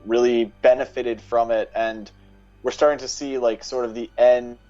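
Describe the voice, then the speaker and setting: A man's voice: mid-range voice, darkish tone. A man speaking as a podcast guest in a casual, analytical discussion style. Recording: dull lo-fi mic sound.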